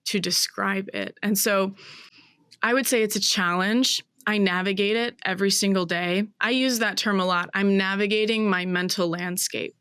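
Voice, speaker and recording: A woman in her twenties, a guest in an interview, talking in a thoughtful, searching way with many pauses and restarts. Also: mid-range voice, steady tone, a bit bright, clear, resonant tone, dry recording, studio quality. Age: 20s. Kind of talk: reflective interview conversation.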